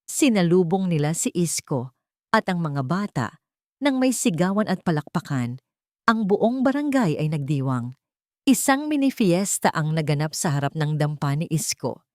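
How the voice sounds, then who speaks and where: A woman's voice: low-pitched, big pitch swings, normal speed, darkish tone, no room sound, studio quality. A woman with a Filipino accent reading a scripted, sentimental romantic drama as a storyteller.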